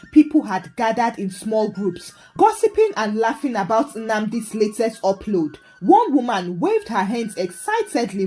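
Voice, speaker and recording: A woman with a Nigerian accent telling a folktale as scripted narration in a dramatic, expressive way. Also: mid-range voice. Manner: dramatic, animated storytelling. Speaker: storyteller.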